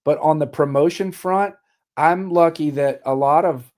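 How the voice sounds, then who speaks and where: A musician in his forties, from Richmond, Virginia, speaking as a radio guest in a candid, wry, matter-of-fact way. His tone is mellow and relaxed, and his voice sounds a little worn. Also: fairly high pitch, unhurried. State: slightly losing his voice. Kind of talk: casual interview chat.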